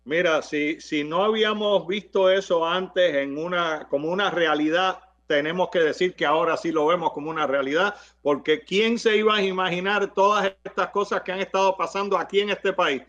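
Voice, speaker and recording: A man's voice: moderate pace, few pauses, fairly bright tone. A male interview guest giving conversational religious testimony. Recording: dull, low-fidelity sound.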